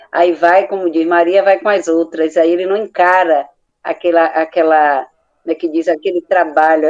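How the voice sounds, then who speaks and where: A mature woman with a Brazilian accent, commenting informally in a group discussion, halting as she searches for words, on lo-fi audio. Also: on the low side, darkish tone. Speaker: study group participant.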